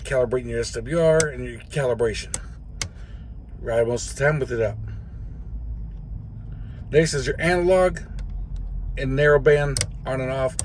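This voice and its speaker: A middle-aged American man, a truck driver, giving a casual hands-on tutorial in a plain, folksy way. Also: medium pitch. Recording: clearly noisy vehicle cab.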